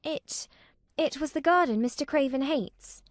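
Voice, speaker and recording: A woman's voice: high voice, very animated, normal speed, dry recording, studio quality, pitched above the performer's own voice. Woman voicing a curious ten-year-old girl. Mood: careful. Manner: careful and hesitant. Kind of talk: audiobook character voice.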